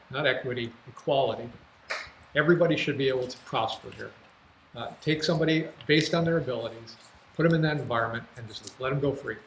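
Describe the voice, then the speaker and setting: A man with a medium-pitched voice speaks plainly as a candidate at a public forum. His voice sounds roomy, with faint noise behind it. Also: fairly bright tone. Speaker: city council candidate. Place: indoor TV studio.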